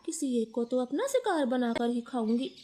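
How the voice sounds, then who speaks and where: A woman's voice: high voice, big pitch swings, moderate pace, mellow. A woman voicing a cruel, hungry female eagle villain in a cartoon fable, threatening and gloating.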